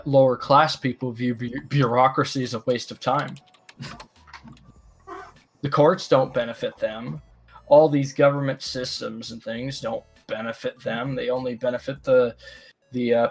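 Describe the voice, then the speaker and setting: Male discussion participant, medium pitch, halting explanatory delivery, casual and opinionated, soft music behind. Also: vocal fry. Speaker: livestream talk participant.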